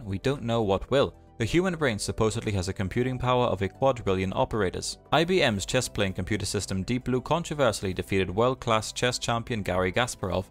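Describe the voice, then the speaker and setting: Fast-reading narrator man, low voice. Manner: rapid, even read of dense specs. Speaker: narrator of a tech explainer channel. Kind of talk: scripted voice-over narration. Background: faint background music.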